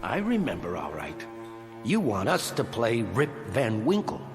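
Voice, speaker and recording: An actor playing a wary, stubborn train robber in a radio drama, talking in a blunt, doubting, tough way. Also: male voice, lowish voice, huge pitch swings, unhurried. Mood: uneasy, sullen. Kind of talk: old-time style radio drama acting. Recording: long hall reverb. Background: faint dramatic music.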